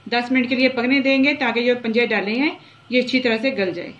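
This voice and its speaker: A woman hosting a home cooking channel, talking through each step of a recipe at the stove in an unhurried, repetitive way. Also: on the high side, highs cut off. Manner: unhurried, repeats each step as she works. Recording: echoey kitchen with sizzling noise. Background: frying and sizzling from the pot.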